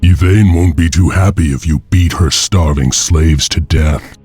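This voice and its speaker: An actor playing a grim, defiant wolf-clan slave warrior in a video game, with a deep, husky, grave voice. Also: male voice, a little slow, darkish tone, heavily acted. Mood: terse, defiant. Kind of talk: video game cutscene acting. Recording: music behind.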